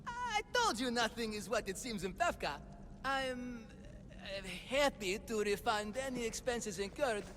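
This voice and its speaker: High-pitched, nervous huckster. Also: male voice, big pitch swings, unhurried, fairly bright tone, a little hiss or hum, exaggerated voice. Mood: flustered, evasive. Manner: hesitant, stammering salesman patter. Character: nervous, theatrical swindling huckster, a frightened father. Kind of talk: video game character acting. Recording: music behind the voice.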